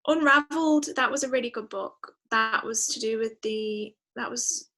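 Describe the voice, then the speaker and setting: A woman's voice: a little high, moderate pace, sharp tone. A woman speaking as a guest in a casual interview, enthusiastic and emphatic, repeating words for emphasis. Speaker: podcast guest, musician.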